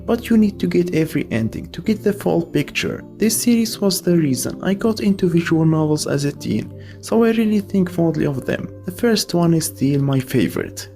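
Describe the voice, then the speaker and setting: A man's voice: fairly high pitch, lively intonation, moderate pace, dark tone, faint music behind. A man narrating a scripted video essay as its host, with casual asides.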